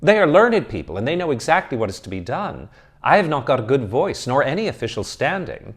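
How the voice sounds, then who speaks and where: A man voicing a nervous, excitable young male student of philosophy who protests in flustered exclamations. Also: medium pitch, a little fast, slightly rough, voice pushed up. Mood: protesting.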